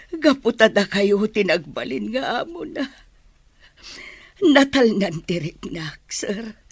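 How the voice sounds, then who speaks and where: A woman's voice: medium pitch, slightly rough, no echo, studio quality. A woman playing an anxious, devout and grateful mother in an Ilocano drama, speaking earnestly and emotionally. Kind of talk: Ilocano audio drama acting.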